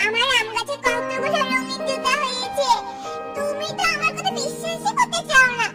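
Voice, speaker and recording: A woman voicing a stubborn, excitable little schoolgirl bird in a children's cartoon, whiny and eager. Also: extremely high pitch, normal speed, distant mic, over loud music, pitched above the performer's own voice. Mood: excited.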